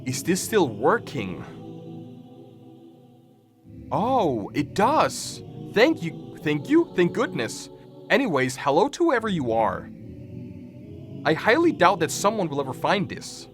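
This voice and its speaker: A man with a slightly high voice and very lively intonation gives casual reaction commentary. He jokes, repeats words as he thinks aloud and reads captions out, with music clearly behind him. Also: takes it slowly, long pauses. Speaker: host of a reaction video. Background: background music.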